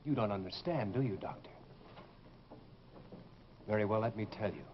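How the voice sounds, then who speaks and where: A man's voice: on the low side, normal speed, natural voice. An actor playing a bitter, secretive, menacing man in an old-time radio horror drama, speaking formally and coldly. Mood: brooding, bitter. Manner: cold, formal, insistent. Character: bitter, menacing, secretive former disfigured patient. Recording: muffled, noisy old radio recording.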